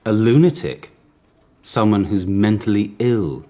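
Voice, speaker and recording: A man's voice: lowish voice, unhurried, warm tone, close to an everyday voice. An actor playing a guarded, prickly businessman under questioning in a radio drama, curt and defensive. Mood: matter-of-fact.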